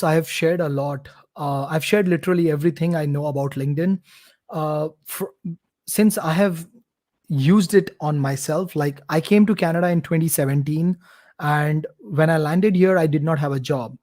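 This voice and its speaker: A man's voice: fairly high pitch, normal speed, a little mellow. A man, talking eagerly as a guest on a live show. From India. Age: 30s. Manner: eager, talkative, promotional. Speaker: guest, course creator. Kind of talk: casual live-stream interview. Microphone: computer mic on a live video stream.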